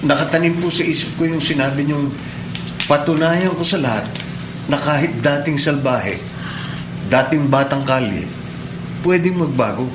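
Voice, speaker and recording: Man acting a young Filipino cadet, respectful, grateful, fairly high voice, roomy echo, radio play, noisy background. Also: normal speed, long pauses, natural voice. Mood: earnest, heartfelt. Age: young adult. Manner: polite, earnest, deferential. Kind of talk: Philippine radio drama acting. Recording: echoey room, clear background noise.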